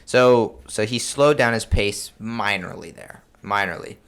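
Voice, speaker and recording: A man's voice: on the low side, a little slow, creaky, fairly bright tone. A man hosting a podcast, explaining things in a casual, chatty way. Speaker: podcast host.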